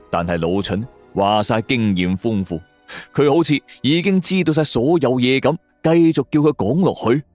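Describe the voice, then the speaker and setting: A man narrating a suspense story in a colloquial, dramatic storyteller style. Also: medium pitch. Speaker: audiobook narrator.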